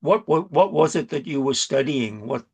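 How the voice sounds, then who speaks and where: An interviewer asking gentle follow-up questions and giving brief encouraging replies. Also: medium pitch, lively intonation, normal speed, breathy edge. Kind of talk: conversational interview.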